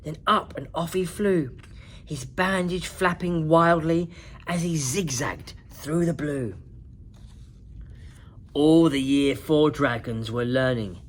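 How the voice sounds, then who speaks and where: A woman narrating a children's picture book in an expressive, dramatic, singsong way, like a principal at story time. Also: sits low, slow, a little hiss or hum. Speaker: school principal reading to children.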